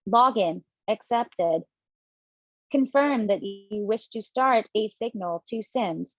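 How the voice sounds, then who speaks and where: Computer system voice, a woman doing it, slow clipped announcements, dry, dull lo-fi sound. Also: mid-range voice, no echo. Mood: flat. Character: flat computer security system voice.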